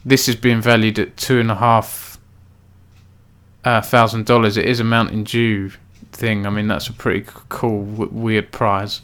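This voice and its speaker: Male news host, casual roundup, steady monotone with frequent fillers. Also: lowish voice, moderate pace, smooth. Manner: self-described monotone, filler-heavy delivery. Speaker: esports news editor and host.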